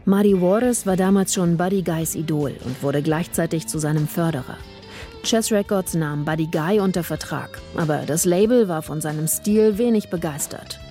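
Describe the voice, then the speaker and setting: A woman narrates a news feature in a low, mellow voice. Her delivery is even and measured, and faint music plays underneath. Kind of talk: scripted narration.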